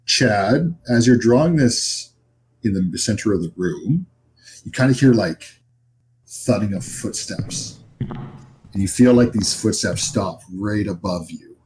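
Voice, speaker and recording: A man with a deep voice runs a tabletop game. His narration is casual and made up as he goes, with faint noise behind it. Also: expressive, takes it slowly, darkish tone, poor audio. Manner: informal, conversational. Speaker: game master.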